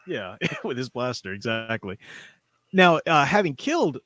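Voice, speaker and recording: 남성 진행자, 울림 없는 건조한 녹음. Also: male voice, medium pitch, big pitch swings, measured pace, fairly bright tone, no echo. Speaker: show host reading chat messages. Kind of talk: casual book discussion on a livestream.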